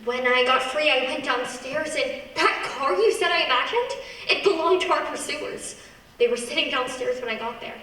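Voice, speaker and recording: A woman's voice: high voice, normal speed, sharp tone. A woman acting a feisty, stubborn young woman in a radio play, with spirited, argumentative delivery. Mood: breezy. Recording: echoey hall, distant mic, noisy.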